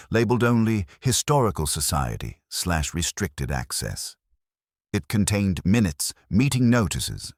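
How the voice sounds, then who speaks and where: A man reading a scripted mystery story in a measured, literary storytelling manner. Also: a bass voice, no room sound, studio quality. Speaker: narrator.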